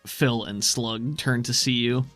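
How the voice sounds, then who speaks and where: A man's voice: mid-range voice, fairly even intonation, unhurried, fairly bright tone, faint music behind. A man working as game master, improvising narration for a tabletop role-playing game.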